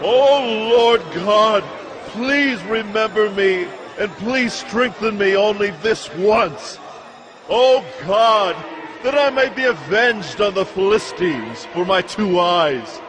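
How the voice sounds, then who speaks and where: A man's voice: sits high, lively intonation, slow pace. An actor playing a weary, anguished biblical strongman with solemn, dramatic delivery in a scripture audio drama. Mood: anguished pleading.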